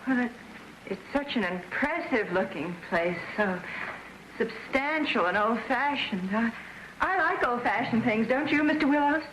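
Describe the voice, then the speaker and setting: Flustered young job seeker, high voice, stammers, coaxing, 1940s film. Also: female voice, expressive, moderate pace, fairly bright tone, slight background noise, rough recording. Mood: pleading. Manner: stammering, wheedling. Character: flustered young woman, pleading and coy. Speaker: job applicant.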